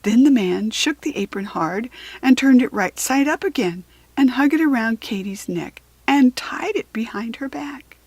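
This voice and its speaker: A woman reads a children's storybook aloud in a high, breathy voice. She phrases slowly and deliberately, leaning on words for drama. Her intonation is very animated. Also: dry recording.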